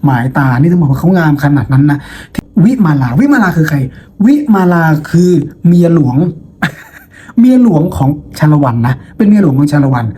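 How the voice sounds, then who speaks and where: A man's voice: fairly high pitch, lively intonation, moderate pace, mellow. A man teaching in an informal, playful storytelling style, chatting to students and often posing rhetorical questions. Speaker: teacher and host of a lesson video. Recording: noticeable background noise.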